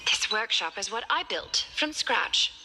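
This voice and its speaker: A woman voicing a proud, shrewd noblewoman seamstress in a video game, formal and measured, over music. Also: medium pitch, animated, very noisy. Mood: guarded, probing. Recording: music under the voice. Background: game soundtrack music.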